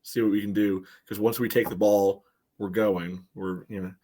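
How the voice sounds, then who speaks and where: Lowish-voiced man talking sports casually. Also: level tone, moderate pace, warm tone. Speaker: podcast panelist. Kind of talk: casual sports analysis chat.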